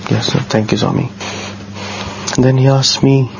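A man with a bassy low voice, telling a personal story in a casual, earnest way, often halting and repeating words. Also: fairly even intonation, vocal fry. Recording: dull lo-fi sound with faint noise.

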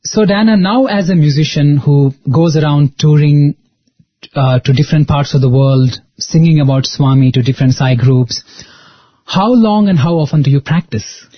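A man puts questions to a guest, halting and hesitant, filling gaps with ums. His voice sits a little high for a man. The sound is dry and dull, like a lo-fi recording. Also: no room sound. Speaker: interviewer. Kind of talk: interview questions.

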